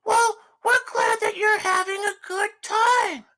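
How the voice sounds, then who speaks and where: A man voicing a gushing woman host in falsetto on a comedic livestream, starting almost every line with "well". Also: fairly even intonation, takes it slowly, vocal fry, bright, forceful tone, heavily acted. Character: shrill fake woman hostess, gushing. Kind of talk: comedic livestream character bit.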